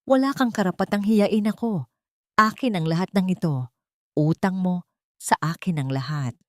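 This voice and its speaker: A desperate, two-faced husband from an audio drama played by a woman narrator. The delivery is low and melodramatic, full of false sincerity, in a dry recording. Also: moderate pace, no echo, natural voice. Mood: furious entitlement. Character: deceitful, pleading, desperate adult husband, quick to rage.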